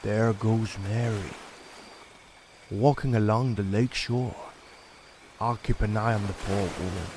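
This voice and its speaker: A man's voice: lowish voice, background noise. An actor playing a kindly young villager who watches over a grieving woman, earnest and wondering, in an old-time radio drama.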